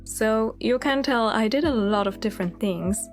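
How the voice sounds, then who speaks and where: A woman's voice: a little high, moderate pace, faint music behind. A woman in her thirties with a Swiss German accent, narrating her story in a candid, chatty, reflective voice-over. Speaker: illustrator and channel host.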